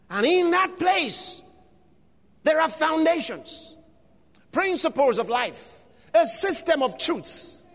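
A man preaching a sermon with emphatic, often shouted delivery. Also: very high voice. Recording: long hall reverb.

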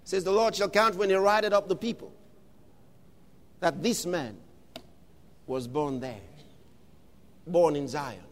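Male preacher, very high voice, slow emphatic delivery, long hall reverb. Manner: emphatic preaching, often shouting. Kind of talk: sermon.

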